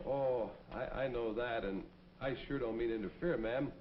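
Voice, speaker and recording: An actor playing a kindly, earnest neighborhood policeman in a 1940s American public-service film, speaking in a folksy, gently persuasive way. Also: medium pitch, moderate pace, a little hiss or hum, natural voice. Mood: gentle concern. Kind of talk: 1940s propaganda film acting and narration. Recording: dull vintage film soundtrack, music behind. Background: music.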